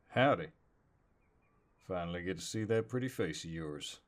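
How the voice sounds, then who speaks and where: Man playing a slow, deep-voiced Southern cowboy. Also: expressive. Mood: warm teasing. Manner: drawling, hesitant, gently teasing. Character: handsome, polite, teasing young cowboy neighbor. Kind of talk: audio roleplay, intimate one-sided dialogue.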